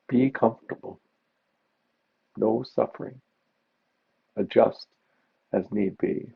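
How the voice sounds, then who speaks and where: Gravelly, low-voiced man guiding meditation. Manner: gentle, unhurried instruction with pauses. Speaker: meditation teacher. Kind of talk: guided mindfulness meditation. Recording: dull, muffled lo-fi recording.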